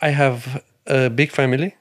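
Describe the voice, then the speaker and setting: A man's voice: mid-range voice, fairly even intonation. Earnest Romanian man in broken English. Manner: broken English, earnest and emphatic, many pauses. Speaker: restaurant owner and chef. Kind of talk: podcast interview.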